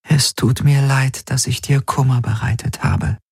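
A man voicing a sorrowful, fading female spirit who speaks softly and half in whispers to her master. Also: medium pitch, fairly even intonation, unhurried, gravelly, slightly rough, no echo, studio quality, pitched above the performer's own voice, heavily acted. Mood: tender. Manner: soft, pleading, partly whispered.

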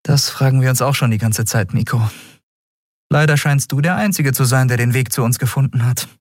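A man voicing a kindly female airship captain in an audiobook, brisk and informal in manner. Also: medium pitch, no room sound, studio quality, pitched above the performer's own voice, natural voice. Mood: friendly.

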